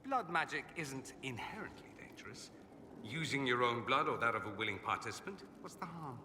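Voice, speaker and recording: A suave, self-flattering noble mage for a fantasy game. His voice is very bright and crisp-edged, swooping theatrically with dry wit. It rings in a reverberant hall. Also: mid-range voice, fairly quick, slight background noise. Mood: wry, explaining. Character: witty, vain aristocratic male mage, sardonic rebel exile. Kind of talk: video game dialogue acting.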